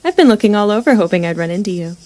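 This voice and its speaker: Woman acting as friendly city runaway, rambling, affable, dark soft timbre, mid pitch, audio drama. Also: lively intonation, rapid-fire, natural voice. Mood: eager, ingratiating.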